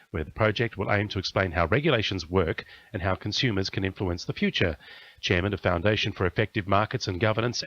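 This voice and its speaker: A man's voice: on the low side, fairly bright tone, dry recording, muffled recording. An Australian man reading a scripted radio news bulletin as the newsreader.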